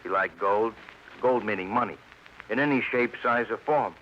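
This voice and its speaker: Actor as low-voiced, droll police sidekick. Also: male voice, moderate pace, close to an everyday voice. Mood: wry. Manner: droll, clipped, repetitive. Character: wry police sidekick reporting in clipped, deadpan refrains. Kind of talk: 1950s radio crime drama acting. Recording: muffled, hissy old radio broadcast.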